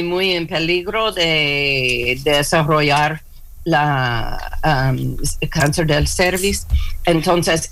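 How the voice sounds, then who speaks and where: Slow, hesitant woman searching for words. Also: low voice, a bit bright, slight background noise, poor audio. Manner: hesitant, many fillers and restarts, searching for words. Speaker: interview guest, clinic worker. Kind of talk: halting conversational interview.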